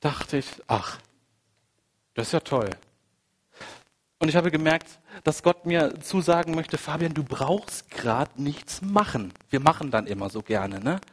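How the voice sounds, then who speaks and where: German pastor preaching with a cold. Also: male voice, a little high, lively intonation, a little slow, slightly rough. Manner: conversational, with fillers and asides. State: has a cold. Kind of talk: sermon with personal anecdote.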